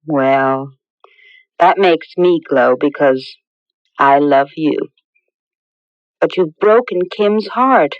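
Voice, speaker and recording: An actress playing a careful, knowing young fiancée in an old-time radio drama, measured and a little coy. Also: female voice, low-pitched, dry recording. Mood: doubtful. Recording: dull, lo-fi radio drama recording.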